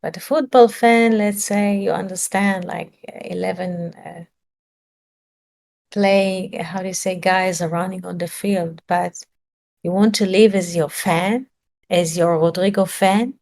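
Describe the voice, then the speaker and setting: A woman's voice: on the low side, fairly even intonation, a little slow, vocal fry, darkish tone. Woman giving a searching spiritual talk. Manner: searching for words, rhetorical questions. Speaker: spiritual teacher.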